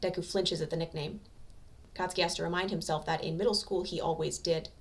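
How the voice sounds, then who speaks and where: Low-voiced woman narrating, slightly off mic. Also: steady tone, a little fast, recorded from a distance. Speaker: amateur audiobook narrator. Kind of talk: expressive scripted story narration.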